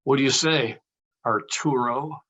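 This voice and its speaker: A man's voice: mid-range voice, a little slow, fairly bright tone. An actor at a table read playing a polite, cautious male film actor with a hesitant, courteous manner.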